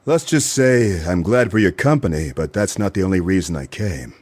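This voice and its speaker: A man's voice: deep voice, animated, normal speed, darkish tone. An actor playing a wry, wisecracking hard-boiled male detective in a noir radio play, both narrating and in dialogue. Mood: teasing, friendly.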